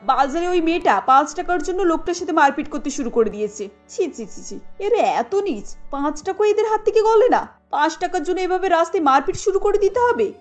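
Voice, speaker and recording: A woman's voice: very high-pitched, animated, a little fast. A woman playing a haughty, imperious middle-aged rich mother in a cartoon, scolding with snobbish indignation. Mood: disgusted, indignant. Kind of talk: cartoon dialogue acting. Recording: light music under the voice.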